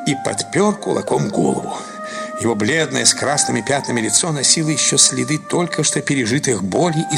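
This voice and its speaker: A man's voice: medium pitch, creaky. A man narrating a literary story in a dramatic radio style. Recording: loud music behind the voice.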